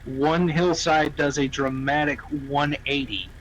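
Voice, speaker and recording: A man's voice: fairly high pitch, steady tone, a little slow, crowd noise, low-quality recording. A man talking casually in an online roleplay game, emphatic and repetitive, swearing freely. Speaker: roleplay gamer, faction member.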